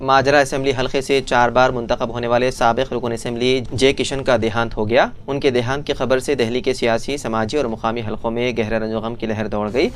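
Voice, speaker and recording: Male news presenter, mid-pitched voice, scripted narration, formal bulletin delivery. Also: slight background noise.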